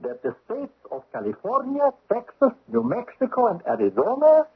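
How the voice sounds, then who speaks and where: An actor playing a stern, accusing Soviet spymaster in a 1970s radio drama, interrogating in a declamatory, menacing way. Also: male voice, very high voice, wildly swooping pitch, moderate pace, husky, heavily acted. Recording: tinny phone-like old radio recording.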